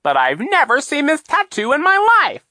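A man's voice: very high-pitched, wildly swooping pitch, normal speed, bright, forceful tone, no echo, studio quality, voice pushed up, heavily acted. A man voicing a scheming, boastful villainous count with a theatrical, sneering delivery. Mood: evasive. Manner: theatrical, whiny false joy then gloating growl.

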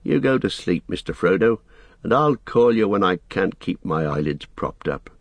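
A man's voice: low-pitched, lively intonation, normal speed, no echo. A man voicing a wary, loyal, plain-spoken hobbit servant in an audiobook, with a homely, blunt, grumbling way of talking. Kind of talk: audiobook character acting.

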